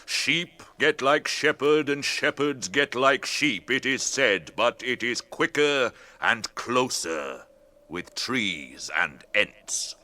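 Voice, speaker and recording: An actor in a radio drama playing an ancient, ponderous Ent, a tree herder who speaks deliberately, humming and musing. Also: male voice, mid-range voice, expressive, bright, forceful tone, heavily acted.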